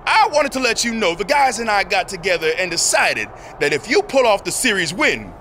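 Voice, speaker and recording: An actor playing an upbeat, friendly big wrestler teammate in a video game. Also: male voice, high-pitched, very animated, fairly quick, sharp tone, slight background noise, exaggerated voice. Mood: friendly, encouraging. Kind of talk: scripted video game cutscene acting.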